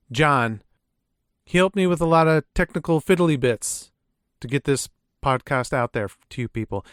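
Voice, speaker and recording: A man's voice: medium pitch, no room sound. Man hosting a podcast, dry close sound. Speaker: podcast host. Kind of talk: scripted podcast introduction with casual asides.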